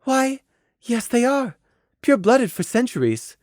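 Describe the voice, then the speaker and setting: A woman voicing a refined unicorn mare in a storybook, soft, polite and hesitant. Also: fairly high pitch, sing-song, measured pace, no echo, clean studio sound, pitched above the performer's own voice. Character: refined unicorn mare mayor, kindly masking despair.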